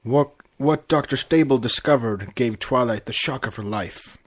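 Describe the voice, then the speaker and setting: A man co-hosting a podcast, chatting casually and narrating a story in a halting way with stumbles. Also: mid-range voice. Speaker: podcast co-host and fan fiction reader.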